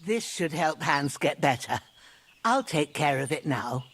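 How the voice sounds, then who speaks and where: A woman's voice: deep voice, lively intonation, unhurried, breathy edge, heavily acted. An actress playing an older village herbalist mother in a game, chatty and reassuring in rustic speech.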